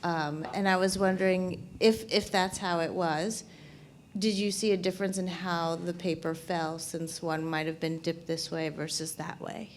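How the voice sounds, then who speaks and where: A woman's voice: lowish voice, level tone, normal speed. A woman in the gallery audience asking a careful, hesitant question. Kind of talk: question from the floor. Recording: faint background noise.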